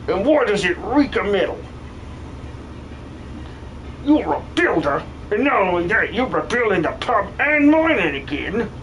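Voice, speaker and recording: Man putting on a high-voiced villager miner. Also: a bit bright, a little hiss or hum, exaggerated voice, rough recording. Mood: suspicious, indignant. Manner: colloquial, exclamatory. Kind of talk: improvised video game fan dub.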